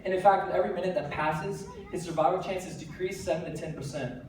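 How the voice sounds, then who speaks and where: A teenage man with an American accent, giving an earnest, energetic stage talk with light self-deprecating jokes. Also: high-pitched, quick pace. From United States. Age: teens. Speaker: TEDx speaker. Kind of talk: stage talk to a live audience. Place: large hall. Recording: very echoey hall, voice from a distance, faint background noise.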